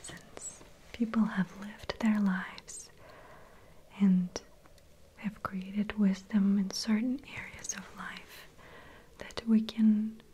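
A woman reads an old-fashioned novel in a quiet ASMR whisper. Her delivery is slow and mellow, with soft breathy phrasing throughout. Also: mid-range voice, little pitch movement, slight background noise, rough recording. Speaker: reader. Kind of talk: whispered ASMR book reading.

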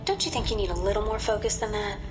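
A woman's voice: mid-range voice, quick pace, over music, close to an everyday voice. Woman as a composed, distant-sounding spy handler. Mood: cautioning, measured. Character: composed, teasing female intelligence handler. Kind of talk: video game cutscene acting.

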